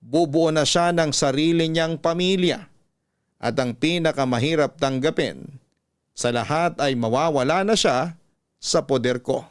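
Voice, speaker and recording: A man reading a scripted emotional story in a measured, emotive way, like a radio storyteller. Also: on the high side, clean tone. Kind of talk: dramatic scripted story narration.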